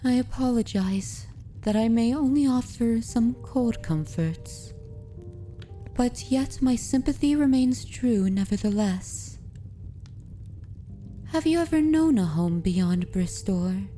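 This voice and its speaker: Slow, dark-voiced woman playing a vampire mistress. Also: on the high side, expressive, faint music behind. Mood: gentle, sympathetic. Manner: formal, deliberate, archaic wording, soothing. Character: aristocratic female vampire mistress, predatory yet gently consoling. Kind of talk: ASMR roleplay, scripted dramatic monologue.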